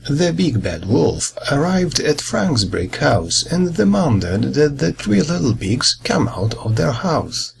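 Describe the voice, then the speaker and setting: A man reading a children's fairy tale as a storyteller, with a dramatic, expressive delivery and a husky, gravelly voice. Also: fairly high pitch, slow, few pauses, a little hiss or hum.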